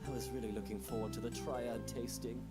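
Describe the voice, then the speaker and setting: An actor with a British accent playing a grumpy, indignant husband in a visual novel game. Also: male voice, mid-range voice, steady tone, brisk, close to an everyday voice. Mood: indignant, grumbling. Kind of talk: game voice acting. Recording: music behind the voice.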